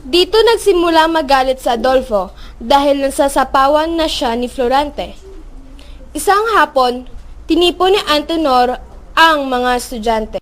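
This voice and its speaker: A woman's voice: high-pitched, expressive, measured pace. A woman with a Filipino accent reading scripted narration for a radio drama.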